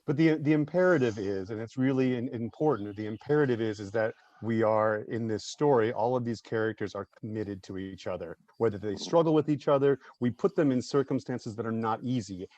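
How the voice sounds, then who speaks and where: A man's voice: mid-range voice, brisk, hardly any pauses, a little mellow, no echo, muffled recording. A man speaking earnestly and emphatically in a panel discussion, often checking his points with a tag question.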